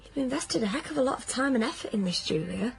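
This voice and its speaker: An actress playing a blunt, sceptical, practical friend, frank and questioning, in naturalistic radio drama. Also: female voice, on the high side, animated, fast talker, gravelly, rough recording.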